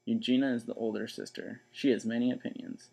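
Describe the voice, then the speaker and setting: A man reading a children's story aloud in expressive, scripted narration. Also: mid-range voice, fairly quick, creaky, noisy background.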